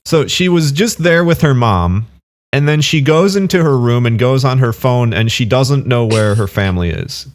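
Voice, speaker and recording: A man's voice: medium pitch, expressive, moderate pace. A man giving casual, argumentative reaction commentary on a video. He is dismissive and keeps circling back with fillers. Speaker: online commentator.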